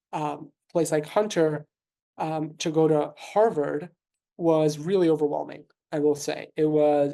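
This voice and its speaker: A man in his thirties from New York City, with Russian as his first language, talks through his answers in a thoughtful, measured way, often pausing on fillers and restarting his sentences. His voice has a gravelly, mellow texture. His pitch stays flat and even. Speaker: physician guest. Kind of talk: conversational interview answers.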